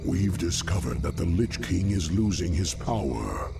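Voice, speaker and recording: An actor voicing a sly, calculating male demon lord in a video game cutscene, measured, formal and sinister. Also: low voice, big pitch swings, creaky, exaggerated voice. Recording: music behind the voice.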